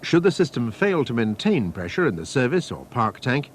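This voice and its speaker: A man reading scripted technical instructions as a training film narrator, in a measured, methodical, formal manner. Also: mid-range voice, sing-song. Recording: faint noise, archival training film soundtrack.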